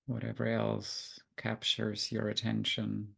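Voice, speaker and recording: Gravelly, low-voiced man guiding meditation. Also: completely flat delivery. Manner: gentle unhurried instructions with long pauses. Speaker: meditation guide. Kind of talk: guided meditation.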